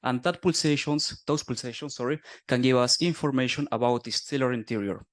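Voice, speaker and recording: A young man in his twenties from Argentina, presenting a scientific conference talk in a steady, explanatory way. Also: medium pitch, brisk. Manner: explanatory, steady, with small self-corrections. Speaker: conference speaker, doctoral student.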